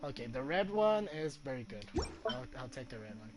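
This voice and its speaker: Male streamer, medium pitch, casual commentary, halting read-aloud, thinking out loud, clear game music behind. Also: big pitch swings. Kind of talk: casual gameplay commentary, reading on-screen text aloud.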